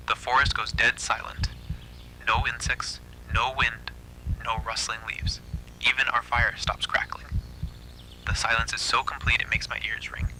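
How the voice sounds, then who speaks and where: Sharp, hushed male horror narrator. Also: medium pitch, rough recording. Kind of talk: scripted first-person dramatic narration.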